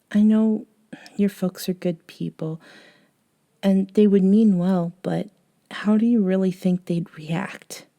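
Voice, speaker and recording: A woman playing a gentle, eccentric young woman, a caring friend, in an intimate ASMR audio roleplay, soft-spoken with hesitant pauses. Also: mid-range voice, creaky. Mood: gently concerned.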